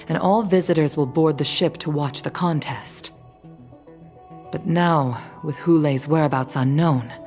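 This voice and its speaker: A woman's voice: low voice, darkish tone, natural voice. A woman playing a serious, dutiful commission leader in a video game, formal and composed. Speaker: voice actor. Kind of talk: scripted video game acting.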